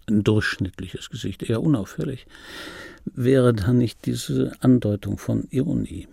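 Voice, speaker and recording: An ageing dubbing actor looking back with wry obsession in a radio play. The voice is mellow and dark, with a heavy creak and a husky rasp. He weighs each phrase, pausing and repeating himself in an intimate monologue. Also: lowish voice, no room sound, clean studio sound, exaggerated voice. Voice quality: gravelly, husky. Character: ageing male dubbing actor recalling his screen star, wry, obsessive.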